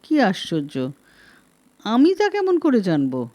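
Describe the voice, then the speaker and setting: A woman voicing an unflappable middle-aged detective husband in a story, terse and measured. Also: a little high, wildly swooping pitch, dark tone, exaggerated voice. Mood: dry, evasive.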